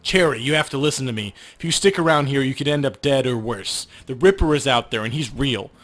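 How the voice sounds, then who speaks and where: A man's voice: mid-range voice, brisk, a bit bright, natural voice. A man voicing an earnest, zealous man who pleads urgently, reading video game dialogue aloud.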